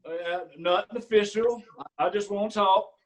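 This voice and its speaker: A man's voice: high voice, fairly even intonation, moderate pace, close to an everyday voice, poor audio. A man playing a smug sheriff. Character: rural county sheriff, middle-aged man, prying and smug. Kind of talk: staged play reading.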